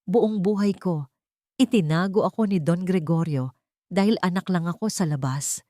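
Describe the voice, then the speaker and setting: A woman voicing a desperate, ruthless young villainess with a shrill, menacing delivery in a dramatic audio story. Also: normal speed, warm tone, no room sound, studio quality, close to an everyday voice. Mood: bitter. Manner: menacing, demanding.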